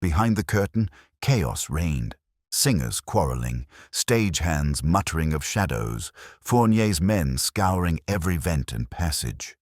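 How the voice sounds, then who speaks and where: Really deep guy reading a detective story, dramatic and formal, voice swings around a lot, dry sound. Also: very animated, darkish tone, dry recording. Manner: measured, formal, theatrical. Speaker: audiobook narrator.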